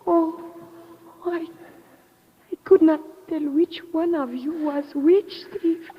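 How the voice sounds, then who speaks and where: A frightened heroine of a vintage radio thriller, urgent and Spanish-accented. Her voice is extremely high, in long reverb on a muffled, hissy recording. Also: steady tone, slow. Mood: frantic. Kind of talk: 1950s radio drama acting.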